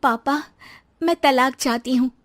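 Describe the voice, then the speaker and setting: Woman playing a high-voiced, earnest young daughter-in-law. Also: a little slow. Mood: earnest, resolved. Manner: soft, pleading yet firm. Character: earnest, dutiful young daughter-in-law who quietly stands her ground. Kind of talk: cartoon moral story dramatization.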